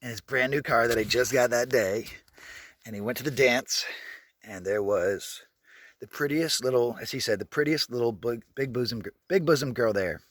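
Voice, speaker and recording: A man's voice: mid-range voice, normal speed, a bit bright, no echo. A man in his thirties vlogging casually outdoors while walking, telling his family story in a candid, rambling way that often corrects itself. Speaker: travel vlogger. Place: outdoors at a skate park. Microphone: handheld camera while walking.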